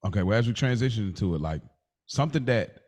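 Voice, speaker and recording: Male podcast co-host, fairly low register. Conversational, slangy, circles around his point while thinking out loud. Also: lively intonation, fast. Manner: slangy, roundabout, thinking aloud.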